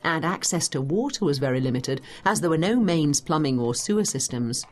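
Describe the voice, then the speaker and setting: Lively, lower-voiced female history guide. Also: fairly quick, slight background noise. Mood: informative, brisk. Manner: explanatory, teacherly. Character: knowledgeable brisk female time-travelling history guide. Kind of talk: dramatised educational audio guide.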